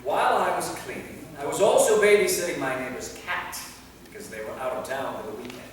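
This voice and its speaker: A man's voice: on the high side, fairly quick, lightly acted. A man playing a weary, easily exasperated immortal vampire in a staged comedy reading. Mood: earnest, flustered. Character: exasperated immortal male vampire from the Middle Ages. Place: theater, recorded from a distance. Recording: echoey hall, far mic, faint noise.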